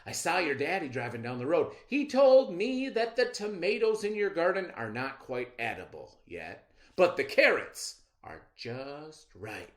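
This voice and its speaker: A man voicing a sly, animated trickster rabbit. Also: fairly high pitch, measured pace, voice pushed up. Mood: friendly, scheming. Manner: friendly, persuasive patter. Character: sly, smooth-talking trickster rabbit, folk-tale hero. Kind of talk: children's storybook read-aloud.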